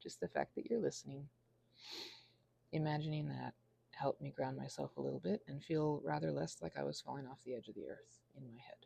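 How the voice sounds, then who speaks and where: Woman, 30s, low and creaky, earnest and halting, intimate ASMR ramble with a self-deprecating streak. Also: fairly even intonation, warm tone. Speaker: ASMR channel creator. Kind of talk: rambling confessional ASMR monologue.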